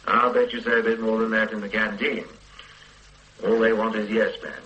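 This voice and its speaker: An actor playing a stern middle-aged police boss who lectures and mocks a junior officer, in radio drama style. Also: male voice, on the low side, little pitch movement, normal speed, sharp tone, dull audio. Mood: lecturing. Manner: lecturing, brusque, mocking. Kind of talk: 1980s radio drama acting.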